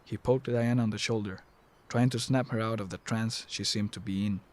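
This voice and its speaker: A man's voice: fairly low pitch, steady tone, fairly quick. A man reading scripted narration for an audio drama.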